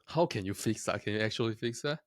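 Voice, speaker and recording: A man's voice: on the low side, lively intonation, quick pace, dry recording, studio quality. A young man from Taiwan talking casually to his viewers, chatty and self-deprecating, often laughing at himself. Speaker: vlogger. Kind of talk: casual talk to camera.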